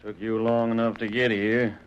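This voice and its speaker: A man's voice: fairly low pitch, flat delivery, normal speed, clear, resonant tone, close to an everyday voice. An actor playing a corrupt, bossy Border Patrol man in a Western radio drama, talking in curt, domineering frontier speech. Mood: curt, scheming. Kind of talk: 1930s-40s Western radio acting. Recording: muffled old radio recording.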